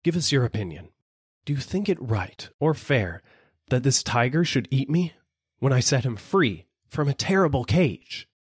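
Guy doing anxious brahmin holy man begging, storybook read, pitched up, bit husky. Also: very animated, normal speed, dry recording, clean studio sound. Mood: pleading. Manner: insistent, imploring.

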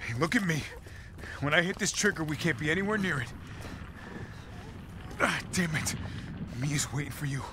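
An actor playing a gruff, middle-aged military operative in a video game, terse and commanding, with an American accent. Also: male voice, a little high, animated, moderate pace, long pauses, close to an everyday voice. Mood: urgent. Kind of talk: dramatic video game cutscene acting. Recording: battle and outdoor ambience noise.

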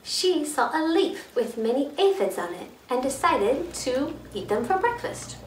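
A woman in her thirties reading aloud to children as a storyteller, dramatic and expressive. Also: sits high, a little slow. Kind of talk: animated children's read-aloud. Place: living room by a fireplace. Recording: roomy, distant mic, faint noise.

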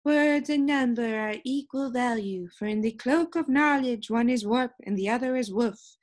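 Woman as a high-voiced, wise princess. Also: moderate pace, lightly acted. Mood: solemn, decisive. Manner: formal, declamatory. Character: two young princesses, wise, fair, firm judges.

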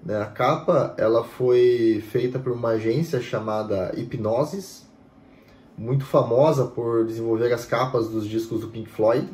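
A man's voice: mid-range voice, measured pace, roomy sound. A man in his thirties, a music history host, explaining steadily to camera at home, often tagging sentences with "né". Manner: steady, explanatory, frequent "né" fillers. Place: home room with bookshelves.

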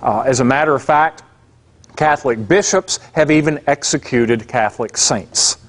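A man's voice: mid-range voice, moderate pace. A man giving a casual classroom lecture as an adult religion class instructor, plainspoken and conversational. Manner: conversational, plainspoken, many fillers and asides. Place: church classroom.

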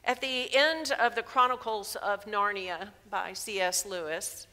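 A woman in her sixties preaching a sermon in a church sanctuary through a headset mic, measured and emphatic. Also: mid-range voice, sharp tone. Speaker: pastor. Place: large church sanctuary, indoors. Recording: long reverb of a church hall.

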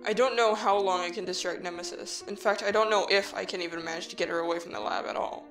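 A woman playing an earnest, cautious human child in a fan audio drama, measured, serious and matter-of-fact. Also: on the low side, fairly even intonation, soft background music, lightly acted. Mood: firm, concerned.

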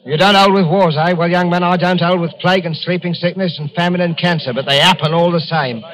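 An actor playing a timid, fussy, slightly sinister English lodger in old-time radio mystery style, hesitant and rambling. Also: male voice, sits high, steady tone, fairly quick, a bit bright. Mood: agitated. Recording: dull, lo-fi old radio recording.

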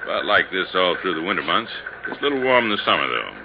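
An actor playing a plain-spoken, laconic American police sergeant in a 1950s radio drama. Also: male voice, low-pitched, very animated, quick pace, creaky, heavily acted. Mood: matter-of-fact. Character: male police sergeant, plain-spoken, easygoing, matter-of-fact. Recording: muffled, noisy 1950s radio recording.

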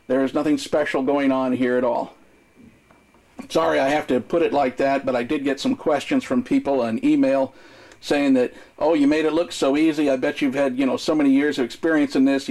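An older man on a docked boat, casually walking through his radio gear in a relaxed, rambling way with many fillers, recorded on a handheld camera mic. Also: medium pitch. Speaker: sailor showing his boat's radio gear. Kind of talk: casual hands-on demonstration. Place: boat cabin at a dock.

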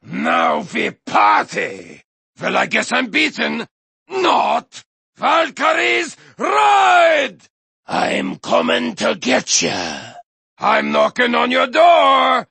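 A maniacal Viking warrior who bellows boastful taunts in a shrill, gravelly, breathy rasp and drops abruptly into a menacing growl. His delivery is slow and drawn out, with a bright, tense edge. Recorded close and dry for a video game. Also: huge pitch swings, no room sound, heavily acted. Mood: manic taunting. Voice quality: gravelly, raspy shouting with manic cackles. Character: crazed Viking warrior racer, male, bloodthirsty and manic. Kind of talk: video game character voice lines.

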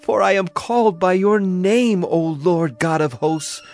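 A man's voice: high-pitched, unhurried, a little mellow. A man playing a sorrowful, earnest prophet in a dramatized scripture reading, solemn and declamatory. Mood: anguished pleading. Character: Jeremiah, sorrowful, earnest male Hebrew prophet. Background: music bed.

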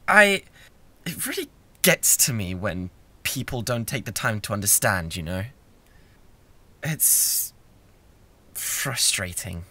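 A man with a British accent acting a bratty, obsessive young classmate in an ASMR roleplay, stammering and intense, shifting between soft pleading and outbursts. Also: low-pitched, heavily acted. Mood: tense, frustrated. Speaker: audio roleplay performer.

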